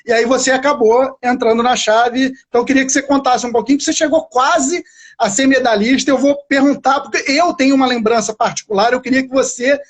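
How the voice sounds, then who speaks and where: A man's voice: extremely high pitch, steady tone, brisk. A man with a Brazilian accent hosting a casual interview, asking long, meandering questions in a hesitant way. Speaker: interviewer, live stream host.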